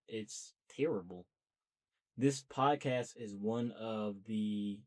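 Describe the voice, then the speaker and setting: A man's voice: mid-range voice, a little mellow. A young man talking earnestly and at length to camera about starting a podcast, in a loose, chatty way. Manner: talkative, earnest, self-motivating. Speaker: podcast host. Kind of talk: casual solo monologue.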